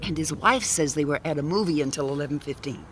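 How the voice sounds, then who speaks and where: An actress playing a helpful, inquisitive detective agency secretary in a radio drama, obliging and informal. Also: female voice, sits low, fast talker, background noise, natural voice. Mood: questioning.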